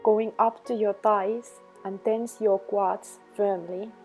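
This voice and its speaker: A woman leading a guided meditation, giving instructions in slow, measured phrases. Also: mid-range voice, steady tone, narrow, tinny audio. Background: background music.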